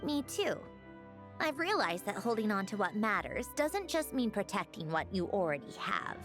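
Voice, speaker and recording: A woman's voice: mid-range voice, big pitch swings, moderate pace, close to an everyday voice. A woman voicing a proud, easily flustered young woman in a video game, speaking in a haughty, theatrical way with grudging fondness. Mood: sincere. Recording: game dialogue with music behind.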